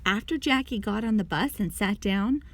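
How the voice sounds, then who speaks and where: A woman reading a children's story aloud in an expressive storytelling manner, addressing young listeners. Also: medium pitch, normal speed, a little hiss or hum. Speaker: storybook reader.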